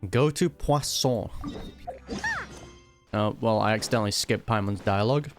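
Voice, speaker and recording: A man's voice: lowish voice, normal speed. Chatty man reacting to a video game. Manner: chatty, amused, answers the game aloud. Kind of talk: casual live gameplay commentary. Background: game music.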